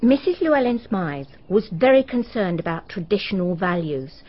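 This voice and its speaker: An actress playing a chatty, gossipy village woman in a BBC radio drama, telling stories in a brisk, knowing manner. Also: mid-range voice, sing-song, husky. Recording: dull archive radio recording.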